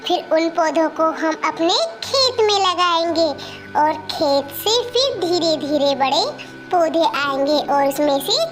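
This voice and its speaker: A woman voicing a hardworking, motherly little bird in a children's cartoon, with a gentle, explanatory manner. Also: very high voice, expressive, unhurried, over music. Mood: patient, instructive. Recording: faint background noise.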